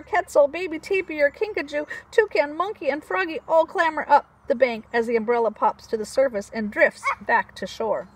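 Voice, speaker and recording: A woman preschool teacher reading a picture book aloud to young children in an animated, expressive way, with chatty asides. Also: sits high.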